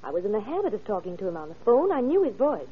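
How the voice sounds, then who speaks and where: An actress playing an earnest, worried doctor's secretary who gives her account briskly and precisely in an old radio crime drama. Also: medium pitch, big pitch swings, slightly rough. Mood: certain, earnest. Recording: dull vintage radio recording, faint noise.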